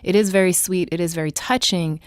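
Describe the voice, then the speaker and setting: Slightly low-voiced woman chatting reflectively. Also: steady tone, a little fast, clear, resonant tone, dry recording, studio quality. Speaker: panel guest. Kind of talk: casual reflective discussion.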